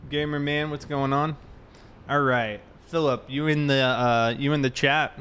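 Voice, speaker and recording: A man's voice: medium pitch, steady tone, measured pace, a bit bright. A man chatting casually on a game livestream, greeting viewers in a friendly way. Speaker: live streamer playing a police roleplay game. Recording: clear background noise.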